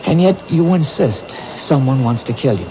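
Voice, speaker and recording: An actor playing a patient, thoughtful police detective who asks gentle, probing questions in a 1970s radio drama. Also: male voice, mid-range voice, expressive, moderate pace, natural voice. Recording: dull old radio broadcast, noisy.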